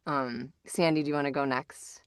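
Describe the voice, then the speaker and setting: A woman's voice: rumbling low, fairly even intonation, moderate pace, smooth, dry recording, clean studio sound. A woman hosting a casual chat, rambling and often interrupting herself. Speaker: host.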